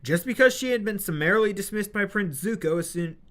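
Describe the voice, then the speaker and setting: A woman's voice: medium pitch, expressive, brisk, fairly bright tone. A young woman with hiccups recording audio drama narration, restarting lines and breaking into rambling, mildly exasperated asides. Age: young adult. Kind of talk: blooper outtakes, scripted narration with chatty asides.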